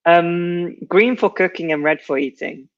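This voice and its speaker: A man's voice: high-pitched, unhurried, a bit bright, lo-fi sound. A man teaching English in a casual online lesson, patiently correcting pronunciation and joking with his co-host.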